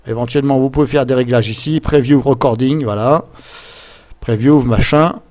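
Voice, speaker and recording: A man's voice: mid-range voice, moderate pace, a little mellow. Man, mid-range voice. Informal tutorial presenter, improvising step by step, loose and hesitant delivery. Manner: rambling, hesitant, step-by-step, fond of filler words. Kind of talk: casual improvised screen-share tutorial. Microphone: computer microphone.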